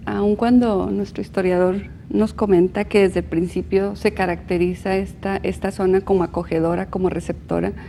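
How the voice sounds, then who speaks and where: Mellow, creaky northern Mexican woman. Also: medium pitch, slight background noise, poor audio. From Chihuahua, northern Mexico. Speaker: panel guest, social researcher. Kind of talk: explanatory panel discussion.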